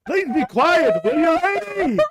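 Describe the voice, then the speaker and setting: A man playing a plain-spoken, flustered passerby in a vintage radio drama, explaining things in a halting, folksy way. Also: very high voice, big pitch swings, heavy background noise. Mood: exasperated.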